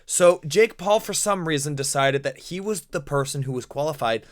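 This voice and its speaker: A man's voice: on the high side, animated, normal speed. A man hosting a casual podcast with friends, rambling and sarcastic, steering the talk back on topic. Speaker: podcast host.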